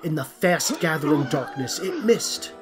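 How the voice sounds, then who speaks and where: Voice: mid-range voice, big pitch swings, a little slow. A narrator reading a scripted storybook tale. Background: plucked string music.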